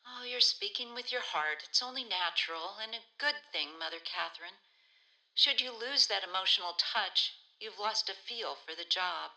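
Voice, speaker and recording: A woman playing a devout child-placement counselor in a community radio play, warm and playful in her talk. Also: medium pitch, animated, normal speed, rough recording.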